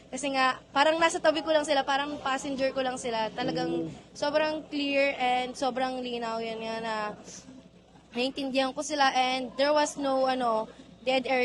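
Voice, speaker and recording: A woman's voice: sits high, a bit bright, a little hiss or hum. A woman with a Filipino accent giving a casual driver's testimonial, conversational and often searching for the right word. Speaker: drift driver. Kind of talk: casual product testimonial, Taglish.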